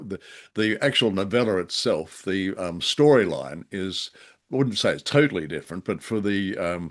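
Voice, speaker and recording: A man with a fairly low voice talks as a podcast co-host in an unscripted discussion. He is polite and wry, and his phrasing halts and hesitates as he searches for words. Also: a bit bright. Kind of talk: unscripted discussion of old-time radio drama.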